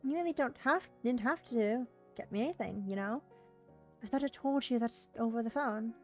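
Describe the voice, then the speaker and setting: A woman's voice: fairly high pitch, normal speed, faint music behind, natural voice. A woman voicing a visual novel heroine, a polite, playfully chiding young woman. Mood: flattered protest.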